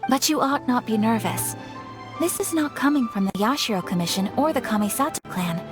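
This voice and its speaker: Woman as polite young noblewoman of a game, high voice, formal, gentle, earnest, music underneath. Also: lively intonation, lightly acted. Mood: polite, composed. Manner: formal, courteous, measured. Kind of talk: animated video game voice acting.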